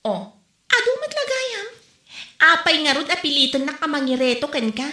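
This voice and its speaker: A woman's voice: high voice, big pitch swings, normal speed, bright, forceful tone, reverberant. A woman with an Ilocano accent acting a teasing, playful mother in a comedy skit, laughing often.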